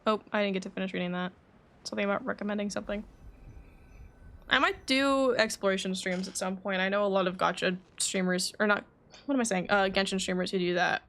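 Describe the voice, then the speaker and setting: A woman's voice: mid-range voice, fast talker, a bit bright. A woman streaming a game, chatting casually to viewers as she plays. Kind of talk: casual livestream chat and reading game dialogue aloud. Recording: faint background noise.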